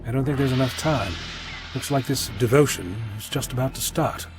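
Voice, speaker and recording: A man acting in an audio drama as an eccentric, self-assured time traveller, wordy, breezy and gently lecturing. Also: mid-range voice, moderate pace, music in the background, close to an everyday voice. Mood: puzzled, wry.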